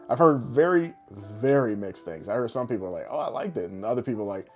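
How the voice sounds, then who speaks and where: A man's voice: medium pitch, expressive, fairly quick, soft background music. A man co-hosting a podcast in casual, joking banter with some swearing. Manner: profane, joking. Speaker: podcast co-host. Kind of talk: casual banter about films and games.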